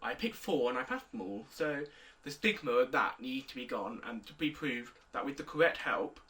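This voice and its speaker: Bright-voiced young man. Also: medium pitch, animated, moderate pace, recorded from a distance. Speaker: interviewee. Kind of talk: recorded video interview answers.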